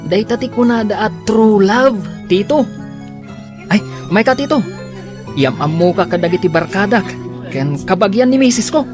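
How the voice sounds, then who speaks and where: A woman's voice: medium pitch, lively intonation, normal speed, music in the background, lightly acted. A woman with an Ilocano accent acting a friendly, teasing young relative in a radio drama.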